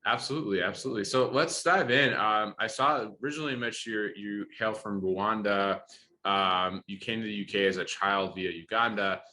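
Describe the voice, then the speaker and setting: A low-pitched man with a bright, forceful voice, hosting a podcast interview over a remote link. He talks with enthusiasm, full of "uh"s and restarts as he sets up his questions. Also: moderate pace, a little hiss or hum. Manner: enthusiastic, many fillers and restarts. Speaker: podcast host.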